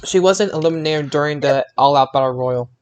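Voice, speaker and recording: A man with a fairly high voice speaks as a podcast co-host. He chats casually about wrestling in a conversational style. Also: fairly quick, a little mellow, a little hiss or hum.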